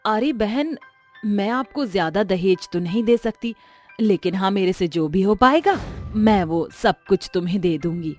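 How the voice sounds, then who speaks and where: A woman voicing a gentle, worried, humble ageing mother in a moral-story cartoon, with a plaintive manner. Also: medium pitch, animated, measured pace, soft background music, lightly acted.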